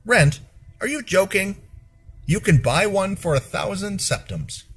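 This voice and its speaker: A man voicing a brisk, helpful stable hand in a fantasy audiobook, casual and animated as he gives advice. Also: high-pitched, breathy edge, soft background music, voice pushed up, exaggerated voice. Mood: incredulous.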